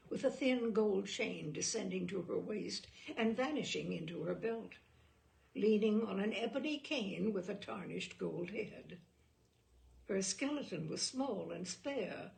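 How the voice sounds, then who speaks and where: Gravelly, expressive woman reading literature aloud. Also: medium pitch. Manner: deliberate, dramatic phrasing with pauses. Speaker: storyteller reading a short story aloud. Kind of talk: expressive literary audiobook narration.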